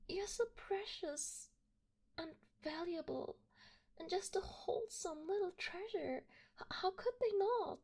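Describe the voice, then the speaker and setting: A woman playing an obsessive, doting young yandere kidnapper in an intimate ASMR roleplay, soft and breathy with frequent drops toward a whisper. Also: high-pitched. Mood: gushing, adoring. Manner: soft breathy delivery dropping often into whispers.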